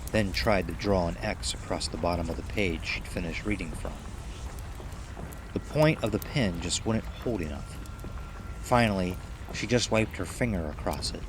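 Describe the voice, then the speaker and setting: A man's voice: low-pitched, noisy background. A man reading a scripted horror story as a suspenseful, dramatic audio drama performance. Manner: suspenseful, dramatic delivery with acted dialogue.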